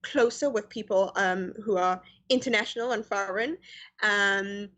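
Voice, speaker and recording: A woman's voice: mid-range voice, unhurried. A middle-aged woman from South Africa with a mixed American and Canadian accent, chatting as an interview guest with many fillers over a video call. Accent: mix of American and Canadian. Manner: chatty, many fillers, lively quoted voices. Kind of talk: casual interview chat.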